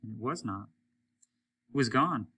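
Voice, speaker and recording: Bright, forceful man reading a story. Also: medium pitch, expressive, a little slow, slight background noise. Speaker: story reader, teacher. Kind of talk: dramatic audiobook narration.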